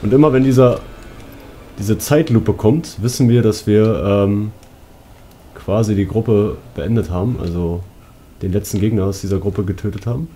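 Male let's player, relaxed and fairly deep, chatting casually over light game noise. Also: moderate pace. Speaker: gaming YouTuber, let's player. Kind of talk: casual let's play commentary.

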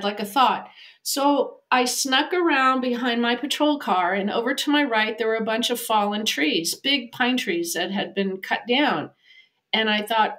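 Woman, fifties, american, raised voice, lively storytelling, acts out quotes, video call from home office. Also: on the high side. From United States. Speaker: animal communicator, podcast guest. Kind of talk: storytelling in an interview. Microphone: video call, webcam.

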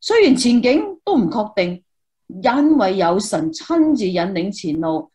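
A woman's voice: mid-range voice, very animated, measured pace, dark tone. A woman with a Hong Kong Cantonese accent playing an eager, devout young student reporter, earnest and exclamatory, in a Christmas radio play.

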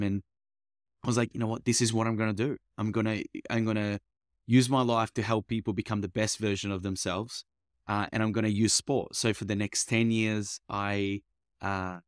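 Guest: young man, grew up in Italy, lowish voice, dry sound, chatty and halting. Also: no room sound. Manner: rambling, many fillers and restarts. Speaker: mindset coach, podcast guest. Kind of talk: casual interview chat.

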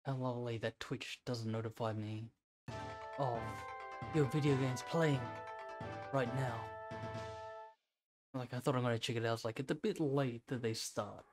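A man gives casual gameplay commentary as a streamer. His voice is middling in pitch and his remarks are offhand, with game music clearly heard behind him. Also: fairly even intonation, a little slow, pauses often.

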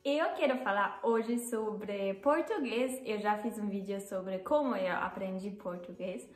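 A young woman from Germany speaking with a German accent, who often pauses and corrects herself and laughs at her own mistakes. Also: mid-range voice, reverberant. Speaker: vlogger. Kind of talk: casual vlog talk to camera. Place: living room, seated on a sofa.

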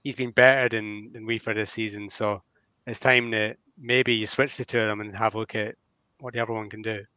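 Bright, dry-sounding young man on a headset. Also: on the low side, little pitch movement, a little fast, no room sound. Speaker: co-host. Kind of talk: casual football chat on a video call. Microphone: headset on a video call.

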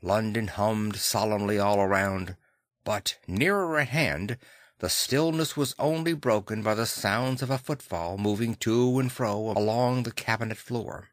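A man's voice: lowish voice, measured pace, dry recording, studio quality. A man reading a classic novel aloud as a dramatic audiobook narrator.

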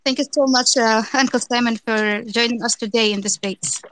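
Eager woman organizer, slightly high voice. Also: steady tone, normal speed, fairly bright tone, no room sound. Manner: eager, grateful, laughing often. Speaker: fan event organizer. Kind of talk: casual hosting of an online fan event.

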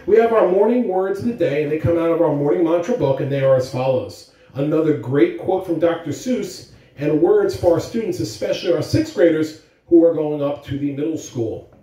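A man's voice: fairly high pitch, fairly quick, dark tone, recorded from a distance. A middle-aged man speaking expressively to children, like a school announcer reading aloud. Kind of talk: morning announcements and children's story read-aloud. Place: living room, indoors. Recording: roomy, recorded away from the mic.

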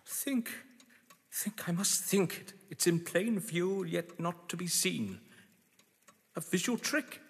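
An actor playing a haughty, condescending gentleman in an audio drama, with a patronising, clipped, theatrical delivery. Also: sits high, slow, creaky, husky, heavily acted. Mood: frantic. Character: haughty, condescending gentleman crime schemer under threat. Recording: reverberant hall-like room.

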